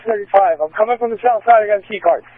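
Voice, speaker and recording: A man's voice: sits high, racing, narrow, tinny audio. A man working as a police officer, giving urgent, clipped commands over a two-way radio. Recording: distant-sounding police scanner radio.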